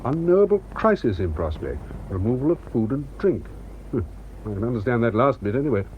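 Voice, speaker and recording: An actor playing a skeptical, businesslike military officer in a radio drama, with a clipped, musing delivery. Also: male voice, mid-range voice, big pitch swings, a little fast, muffled recording, a little hiss or hum, close to an everyday voice. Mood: businesslike, puzzled.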